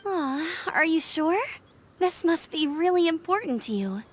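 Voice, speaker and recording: A woman's voice: very high-pitched, animated, a little slow, slight background noise. An actress playing a considerate, sweet young woman in a video game. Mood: touched. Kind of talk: video game cutscene acting.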